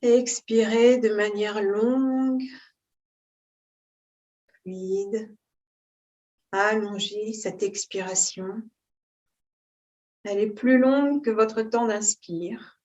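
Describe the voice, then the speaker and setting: A woman teaching yoga, giving calm, unhurried and soothing guidance on posture and breathing. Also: mid-range voice, highs cut off. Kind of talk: guided yoga and breathing instruction.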